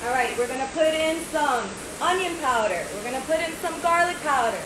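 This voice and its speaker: A woman's voice: high voice, lively intonation, fairly quick, a crowd in the background. A woman in her thirties hosting a casual home cooking video, talking through each step in a chatty way and often checking in with "right?". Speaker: home cook host. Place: home kitchen, indoors. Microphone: phone camera at arm's length. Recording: echoey kitchen with noise.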